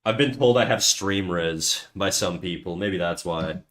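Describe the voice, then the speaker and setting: A man's voice: sits low, a little slow, fairly bright tone. A man chatting casually on a livestream while he draws. He rambles, swears freely, mocks himself and often restarts his sentences. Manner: rambling, profane, self-mocking, with frequent restarts. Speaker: livestreamer and artist.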